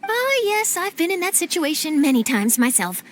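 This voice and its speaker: A woman's voice: extremely high pitch, sing-song, moderate pace, slight background noise. A woman voicing an eager, talkative young journalist in a game cutscene. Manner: eager, chatty, flustered at times. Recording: game audio with music behind.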